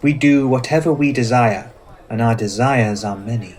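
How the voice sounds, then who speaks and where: A man acts out an old-world male vampire with a medium-pitched voice. He is courtly and commanding, with passionate, poetic and formal phrasing, performed theatrically as ASMR roleplay. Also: moderate pace, darkish tone, lightly acted. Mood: wistful, assured.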